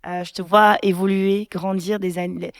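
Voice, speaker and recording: A woman's voice: mid-range voice, flat delivery, moderate pace, dry recording, studio quality. A woman pastor hosting a faith podcast, introducing her guest with open emotion and frequent affirming murmurs. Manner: emotional, affectionate introductions with many affirming "mhm"s. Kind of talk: warm podcast interview.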